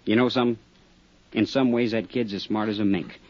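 Actor as husky, wisecracking roadhouse hustler, American. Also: male voice, lowish voice, steady tone, fairly quick, a little mellow, lightly acted. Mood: scornful. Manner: slangy, streetwise, defensive. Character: tough, cagey small-town roadhouse owner, wisecracking hustler. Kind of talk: 1950s radio drama acting. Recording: dull 1950s radio recording.

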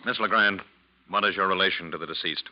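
An actor playing a stern, methodical police lieutenant in a 1940s radio drama, questioning formally and with measured care. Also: male voice, low-pitched, a little hiss or hum, close to an everyday voice. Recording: muffled 1940s radio recording.

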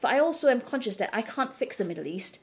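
A woman's voice: fairly high pitch, expressive, fast talker, breathy edge. A middle-aged woman from Singapore answering interview questions in a candid, emphatic, conversational way. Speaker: interview guest.

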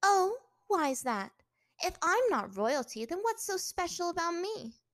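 A woman narrator acts out a proud young captive princess in a fantasy story. Her voice jumps high and turns sharp with indignation. She is scornful and sarcastic, biting off words in open defiance, in a dry recording. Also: sing-song, a little fast, dry recording.

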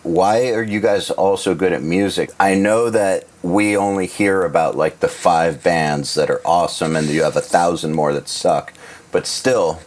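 A man's voice: sits low, steady tone, normal speed. A man hosting a casual podcast chat, blunt and teasing, swearing freely. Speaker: podcast host.

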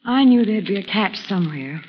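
A woman's voice: medium pitch, animated, a little fast, close to an everyday voice. An actress playing a plucky, polite young woman sleuth in a 1940s radio drama. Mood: politely casual. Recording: muffled, hissy old radio recording, reverberant.

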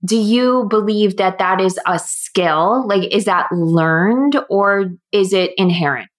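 A woman hosting a podcast, speaking in a thoughtful, measured way. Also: medium pitch. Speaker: podcast host, coach. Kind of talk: podcast intro and interview.

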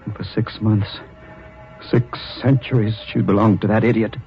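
A man's voice: on the low side, fairly even intonation, normal speed, soft background music, natural voice. A man acting a brooding, obsessive mad sculptor in a 1940s radio horror play, melodramatic and menacing. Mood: obsessed, gloating. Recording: muffled old radio recording.

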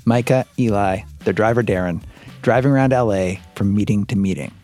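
A man's voice: fairly low pitch, a little fast, mellow, faint music behind. An American man hosting a podcast, moving between scripted storytelling narration and casual interview questions. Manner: conversational storytelling.

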